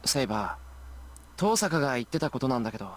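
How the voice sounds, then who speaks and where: Guy voicing earnest anime game teen boy, talks fast, music behind him. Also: medium pitch, very animated, lightly acted. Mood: hesitant, curious. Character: earnest modest teenage boy, apprentice mage. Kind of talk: Japanese visual novel voice acting.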